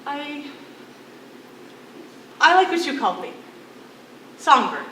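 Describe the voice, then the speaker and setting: A stage actress playing a playful, witty imprisoned singer with a teasing, dramatic manner. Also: female voice, high-pitched, big pitch swings, takes it slowly, fairly bright tone, far from the mic, full character voice. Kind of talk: theatrical stage acting. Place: on a stage in a hall. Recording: echoey theatre stage, faint noise.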